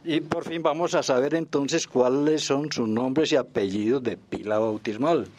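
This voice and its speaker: A man hosting a radio interview with formal, deliberate phrasing. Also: mid-range voice, darkish tone.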